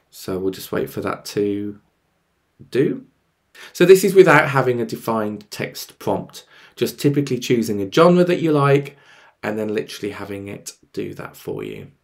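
A man in his thirties with a slightly croaky voice, presenting a casual on-screen tutorial and thinking aloud as he goes. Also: mid-range voice. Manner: explanatory, thinking aloud as he clicks. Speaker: presenter of music tech tutorials. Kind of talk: casual screen-share walkthrough.

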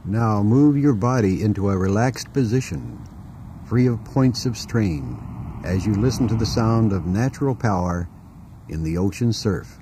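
A man narrating a scripted guided meditation in a calm, deliberate way, giving instructions with pauses. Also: lowish voice, background noise.

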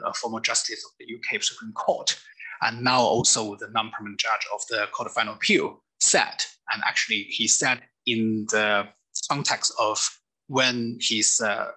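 A middle-aged man from mainland China with a Chinese accent lectures over slides in a webinar. His voice is very bright and a little husky, and his delivery is hesitant, with frequent fillers and restarted phrases. He speaks from an office desk into a webcam. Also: fairly low pitch, low-quality recording. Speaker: lawyer giving a lecture.

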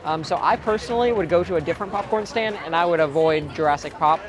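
A young man in his twenties, hoarse from screaming on a ride, giving casual theme park tips to camera outdoors with lots of ums. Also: on the high side, quick pace. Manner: chatty, frequent ums and uhs. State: hoarse, voice cracking. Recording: outdoor crowd and ride noise behind.

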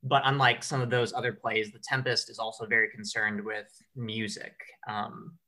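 A man hosting a casual panel discussion as a director, explaining things in a measured way. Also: lowish voice, flat delivery, gravelly, sharp tone.

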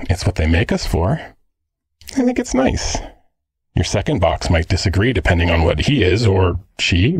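A man's voice: fairly low pitch, darkish tone, slightly rough, dry recording, heavily acted. A man playing a teasing, jealous, slangy android boyfriend in an ASMR roleplay. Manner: cheeky, slangy, sardonic.

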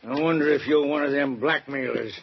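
A man's voice: medium pitch, a little fast, creaky, slightly rough. An actor playing an agitated male friend of the victim, in 1940s radio drama style. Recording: muffled 1940s radio broadcast.

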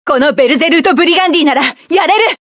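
High, dry game voice: fierce proud young woman pilot, commanding battle shouts. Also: lively intonation, rapid-fire, no echo. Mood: defiant. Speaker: mecha pilot character. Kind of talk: video game battle voice lines.

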